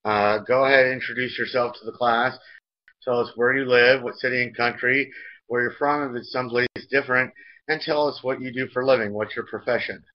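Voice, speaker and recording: A man's voice: medium pitch, fairly even intonation, fairly quick. American guy teaching an online class, instructional, repeats stuff, muffled lo-fi sound. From United States. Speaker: English teacher. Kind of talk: leading an online language class. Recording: dull, lo-fi online call audio.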